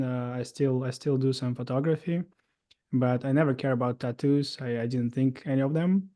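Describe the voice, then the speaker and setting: Russian-accented man, halting and mellow. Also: mid-range voice. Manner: halting, many fillers and restarts. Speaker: guest. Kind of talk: casual interview storytelling.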